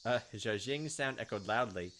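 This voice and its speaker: A man's voice: fairly low pitch, moderate pace, a bit bright, a little hiss or hum. A young man reading a visual novel aloud as a let's player, with casual, wry commentary.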